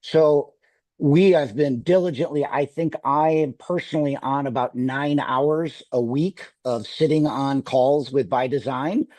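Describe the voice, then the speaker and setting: A man's voice: medium pitch, measured pace, darkish tone, no echo. A middle-aged man with a Wisconsin accent, talking on a video call to a sales team, rambling with many restarts. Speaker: sales executive.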